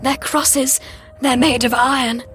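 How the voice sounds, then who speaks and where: An anguished she-monster in love, played by a woman narrator, pleading in a lifted, dramatic voice. She sounds like a gothic storybook heroine torn by devotion, with soft music underneath. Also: high voice, fairly even intonation, takes it slowly, voice pushed up. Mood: pained, urgent.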